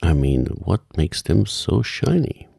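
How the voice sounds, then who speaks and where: Blunt storybook gryphon, played by a male reader, solemn, slow, husky delivery. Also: deep voice, very animated, warm tone, no room sound, clean studio sound, exaggerated voice. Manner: solemn, matter-of-fact.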